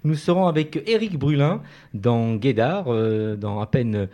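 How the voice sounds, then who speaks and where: French radio host, hesitant and chatty. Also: male voice, medium pitch, animated, measured pace, dark tone, dull audio. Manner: hesitant, frequent "euh" fillers. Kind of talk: radio show introductions.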